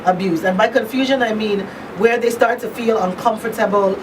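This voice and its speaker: A woman's voice: medium pitch, fairly even intonation, a little fast. Woman interviewer, distant and noisy. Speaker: talk show host. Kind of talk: conversational radio interview. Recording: distant mics, clear hum and background noise.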